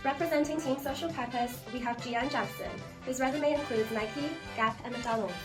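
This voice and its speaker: A woman's voice: high-pitched, normal speed. A woman hosting a scripted game show with playful, teasing banter. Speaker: game show host of a student role play. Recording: echoey, distant mic, music behind.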